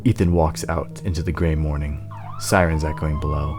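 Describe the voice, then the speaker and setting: A man's voice: rumbling low, fairly even intonation, moderate pace, music in the background. A man reading dramatic scripted narration as the narrator of a screenplay table read.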